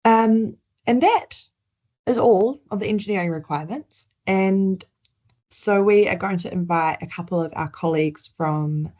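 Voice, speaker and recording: A woman's voice: mid-range voice, unhurried, darkish tone, dull audio. A woman with a New Zealand accent presenting slides in a webinar, conversational, with frequent ums and restarts.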